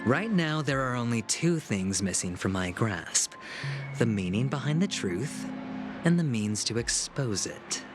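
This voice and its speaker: Smug, theatrical gambler, swooping delivery. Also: male voice, medium pitch, sing-song. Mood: confident, defiant. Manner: teasing, grandiose, drawn-out delivery. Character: smug, theatrical young male gambler and schemer. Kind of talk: video game voice acting. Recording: music under the voice.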